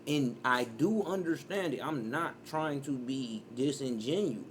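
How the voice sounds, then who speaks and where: A man in his early thirties streams his reaction in loose, rambling commentary. His voice sits at an ordinary pitch and now and then jumps into loud, excited outbursts. A faint hiss runs under the sound. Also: measured pace. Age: 30s.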